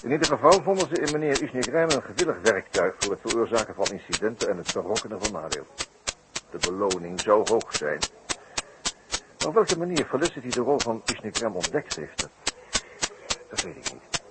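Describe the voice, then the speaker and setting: Wry, theatrical police detective male actor, deliberate and mocking, vintage radio drama, heavy noise and clicks. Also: fairly low pitch, lively intonation, lightly acted. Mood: calm, explaining. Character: sly, mocking male French police detective in circus mystery. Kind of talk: 1960s Dutch radio play acting. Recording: very noisy, clicky 1960s radio recording.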